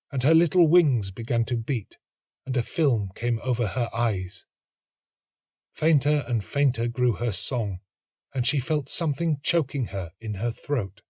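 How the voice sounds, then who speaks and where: A man reading a literary story as an actor would, in a measured, dramatic and expressive narrating style. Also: mid-range voice, husky, dry recording, studio quality.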